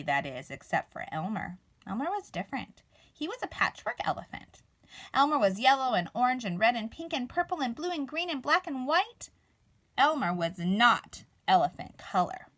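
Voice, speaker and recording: A woman reading a children's story aloud expressively, with dramatic pauses and emphasis. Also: on the high side, a bit bright.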